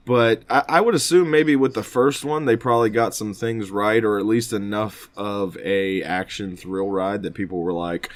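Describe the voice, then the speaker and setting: A man's voice: fairly low pitch, steady tone, normal speed, hardly any pauses. A man co-hosting a casual podcast, talking in a rambling, informal way. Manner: rambling, informal, mild swearing. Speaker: podcast co-host.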